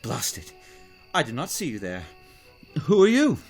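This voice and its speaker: An actor playing an officious, old-fashioned gentleman director in an audio drama, formal, genteel and pompous. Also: mid-range voice, wildly swooping pitch, moderate pace, breathy edge, soft background music, heavily acted. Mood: irritated, brisk. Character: courtly, officious old-fashioned male director, easily exasperated.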